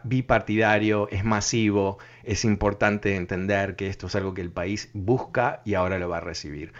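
Un presentador de radio de voz algo grave que conversa en directo con los oyentes. Habla con calma, se detiene a pensar y rellena las pausas con muletillas. El sonido llega apagado, como de una emisión de radio. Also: male voice, on the low side, highs cut off. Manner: thoughtful, many fillers and restarts. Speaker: radio talk show host. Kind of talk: live call-in radio talk.

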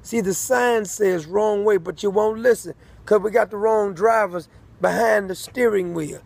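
A man's voice: sits high, measured pace, vocal fry, a little mellow, no room sound. A man delivering a rambling political rant, repeating himself emphatically. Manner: repetitive, emphatic.

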